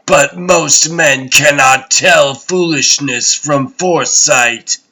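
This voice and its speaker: Imperious comic-book tyrant god played by a man, very slow delivery, forceful edge, cold and pompous. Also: a little high, full character voice, low-quality recording. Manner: pompous, commanding, deliberate. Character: imperious male god emperor, cold, haughty, brooding tyrant. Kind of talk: comic book dub, dramatic voice acting.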